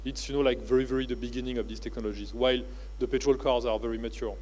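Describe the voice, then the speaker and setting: Halting man with a French accent. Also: medium pitch, fairly even intonation, a little mellow, poor audio. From France. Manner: halting, with many "uh" and "you know, like" fillers. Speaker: keynote speaker. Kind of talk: conference keynote talk. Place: convention centre stage.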